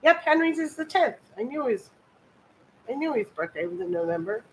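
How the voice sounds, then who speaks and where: A woman's voice: sits high, very animated, moderate pace, slight background noise, poor audio. Chatty woman in her fifties. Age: 50s. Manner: chatty, reading out viewer comments. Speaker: co-host. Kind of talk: casual livestream chat.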